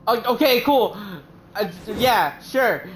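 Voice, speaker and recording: A woman streamer giving casual gaming commentary, reacting with loud exclamations and plenty of swearing. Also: on the high side, lively intonation, slow pace, a crowd in the background.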